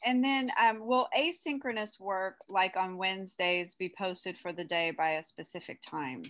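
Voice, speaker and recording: A woman's voice: mid-range voice, normal speed, fairly bright tone. Woman moderator on a video call, reads questions plainly with short acknowledgements. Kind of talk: reading submitted questions aloud.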